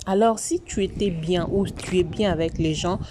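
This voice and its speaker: A woman's voice: on the low side, normal speed, noisy background. A woman from Congo, hosting a commentary vlog in a preachy, moralizing way that repeats its points. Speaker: YouTube channel host.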